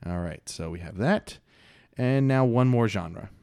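Lowish guy hosting a podcast, rambly and chill, dry close sound. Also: lively intonation, measured pace, dark tone, smooth, no room sound. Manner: laid-back, rambling with fillers and frequent laughs. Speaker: podcast host. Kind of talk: casual chat.

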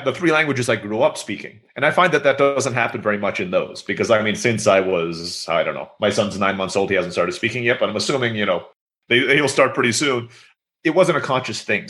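Interview guest, man in his thirties, raised in Turkey; brisk, bright-toned storyteller, rambling and casual. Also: on the low side. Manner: chatty, storytelling with many fillers.

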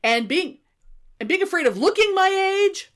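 A woman's voice: very high-pitched, normal speed. A woman in her fifties chatting casually as a podcast co-host, swearing freely and telling stories with energy. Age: 50s. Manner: profane, animated storyteller. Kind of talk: casual chat.

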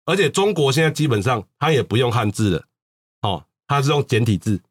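A man's voice: mid-range voice, animated, a little fast, husky. A man with a Taiwanese accent explaining things casually as a talk-show host, often repeating words for emphasis. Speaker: podcast host.

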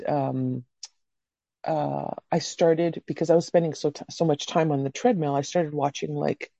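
Low, dark-voiced candid woman. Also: creaky, no echo, highs cut off. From Canada. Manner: candid, rambling storyteller with casual swearing. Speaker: podcast guest. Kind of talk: casual interview chat.

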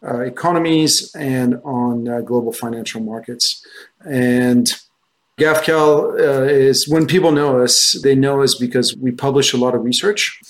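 Middle-aged French-raised man, relaxed talk. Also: medium pitch. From France. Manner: relaxed, with frequent restarts and fillers. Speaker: guest, research firm founder. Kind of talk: conversational interview answers.

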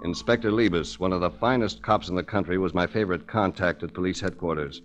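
An actor plays a suave American male investigator in a 1940s radio drama. His voice sits low, and he speaks with measured, articulate confidence and a wry edge, like someone telling his own case. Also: dull audio, music in the background, natural voice. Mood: narrating.

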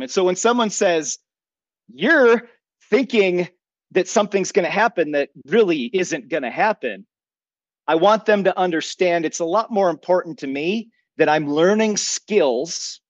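A man with a fairly high voice talks as a podcast guest on emergency preparedness. He is earnest and opinionated, explaining at length in a conversational way. The sound is dry and somewhat muffled. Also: no room sound. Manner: earnest, opinionated, rhetorical questions to himself. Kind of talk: conversational interview, long personal explanations.

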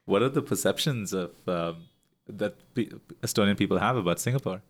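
A man hosting a casual chat, asking questions in a relaxed way with lots of "uh" and "like". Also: lowish voice, a little fast. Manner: relaxed interviewer, hesitant with "uh", laughs along. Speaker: host.

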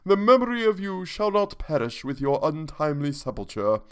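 A man's voice: on the high side, sing-song, normal speed, clear, resonant tone, pitched above the performer's own voice. A man voicing a haughty old vampire count who declaims a florid eulogy. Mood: pompous.

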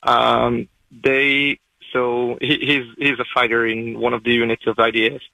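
A man, calling in to a radio show and speaking fast and emotionally, with many halting restarts. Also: mid-range voice, flat delivery, creaky, bright, forceful tone, dry recording, rough recording. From Israel. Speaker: caller. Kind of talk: call-in testimony.

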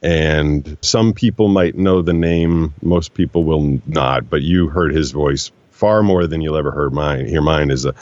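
A man in his forties, a voiceover actor being interviewed, telling stories in an animated way and switching voices when he quotes people. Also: a bass voice, measured pace, hardly any pauses, no echo, highs cut off. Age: 40s. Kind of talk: relaxed storytelling conversation.

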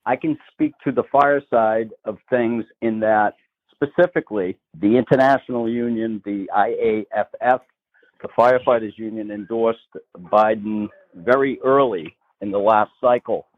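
A man's voice: fairly low pitch, normal speed. Boston-accented man on a tinny phone line. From Massachusetts, north of Boston. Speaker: guest, state politician. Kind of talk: phone-in radio interview.

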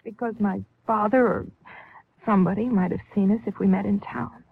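A woman's voice: mid-range voice, fairly even intonation, creaky, slightly rough, dry recording. An actress playing a nervous, secretive young newlywed woman, hesitant and earnest, in a 1950s radio drama. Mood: anxious, defensive. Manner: hesitant, halting, anxious. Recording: tinny, band-limited 1950s radio recording.

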